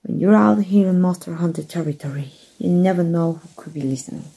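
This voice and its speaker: A woman from Mexico playing a kind undercover rebel rescuer in an ASMR roleplay, with folksy, hushed speech and a soft, breathy voice. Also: lowish voice, a little fast, rough recording. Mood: guarded, friendly. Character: kind human rescuer, gruff-talking, reassuring undercover rebel.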